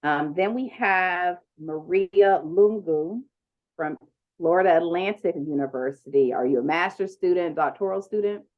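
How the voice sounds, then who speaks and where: A woman moderating an online conference panel, speaking in a relaxed, chatty way with lots of ums. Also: on the low side, a little slow. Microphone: video call. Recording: dull lo-fi video call audio.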